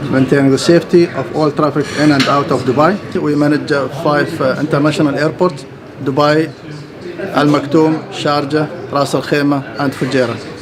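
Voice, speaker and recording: A man giving a formal explanatory tour as head of a control room, halting and agreeable. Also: fairly high pitch, flat delivery. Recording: background chatter behind voice.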